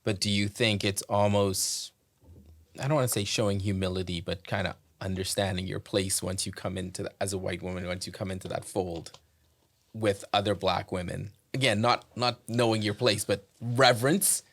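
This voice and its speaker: A man's voice: on the low side, fairly even intonation, moderate pace, a bit bright. A man from the Bahamas hosting a casual podcast chat, asking careful, hedged questions and telling stories with laughs. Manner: thoughtful, hedging questions, laughs through stories. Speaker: podcast host.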